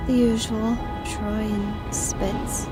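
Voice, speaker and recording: A woman's voice: medium pitch, little pitch movement, drawn-out words, loud music behind the voice. A woman playing a jaded, blunt teenage homeless girl in a radio drama. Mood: weary, wary.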